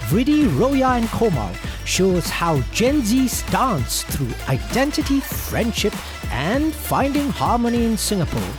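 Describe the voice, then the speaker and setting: A woman's voice: a little high, sing-song, measured pace, few pauses. A woman announcer reading a scripted promotional narration for a show, with music playing behind her.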